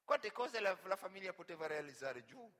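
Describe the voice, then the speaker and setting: An earnest, wounded migrant labourer. The voice is fairly high and very bright, piercing in tone, telling his story as an emotive stage monologue. It rings through a long hall reverb. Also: big pitch swings, moderate pace, full character voice. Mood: anguished, frantic. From Senegal. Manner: emotive, storytelling delivery with repeated phrases. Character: earnest, wounded, naively grateful male migrant worker. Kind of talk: dramatic theatrical monologue.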